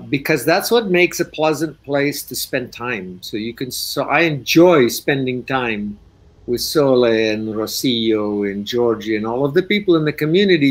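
A man speaking English on a video call, relaxed and thinking aloud with restarts as a guest speaker. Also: on the high side. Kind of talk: casual talk on a video call.

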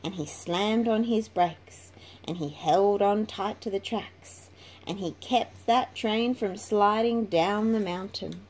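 Slow-paced woman reading a storybook. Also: mid-range voice, a little mellow. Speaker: children's book reader. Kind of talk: slow read-aloud storytelling. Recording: noisy home recording.

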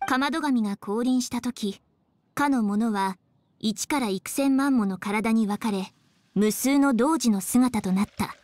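A woman's voice: a little high, a little slow, darkish tone, dry recording, lightly acted. An actress playing a polite, composed young female scholar in an anime game, speaking in an articulate, explanatory way. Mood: studious, explaining.

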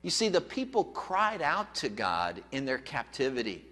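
A man's voice: mid-range voice, wildly swooping pitch, normal speed, sharp tone, faint music behind. An American man in his sixties preaching a sermon as a pastor, emphatic yet conversational, speaking at a pulpit microphone in a church. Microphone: gooseneck pulpit microphone. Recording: reverberant church hall.

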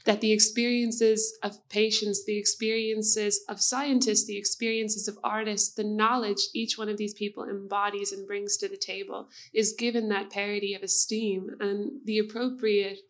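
A young woman opens a panel on a conference stage, holding a handheld mic. Her pitch is mid-range and very even, and her sentences are earnest and flowing. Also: moderate pace, few pauses. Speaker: moderator. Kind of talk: opening remarks.

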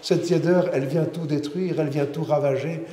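A man's voice: a little high, steady tone, normal speed, dark tone. A middle-aged man, a priest giving a sermon, speaking earnestly and often restarting and repeating his words. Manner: earnest, many restarts and repetitions. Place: large church interior. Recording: roomy church with long reverb.

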